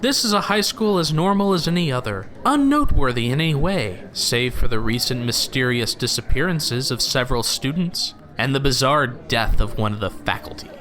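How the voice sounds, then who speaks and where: A man's voice: medium pitch, animated, moderate pace, hardly any pauses, pushed and bright. A man playing a pompous narrator in an audio drama, delivering theatrical, ominous narration. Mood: grandiose. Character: pompous male storyteller relishing ominous drama.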